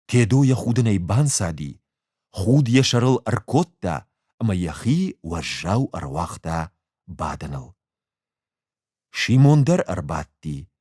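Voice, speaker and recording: Deep, slow-paced male storyteller. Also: husky, no room sound, clean studio sound. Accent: Ossetian. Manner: measured, expressive storytelling. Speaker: actor reading a short story. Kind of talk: audiobook narration with acted dialogue.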